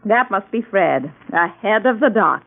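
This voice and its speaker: A woman's voice: mid-range voice, animated, unhurried, vocal fry. An actress playing a tired, wry American wife and mother in a mid-century radio drama. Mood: weary. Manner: wry, weary. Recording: tinny band-limited old radio broadcast, music behind.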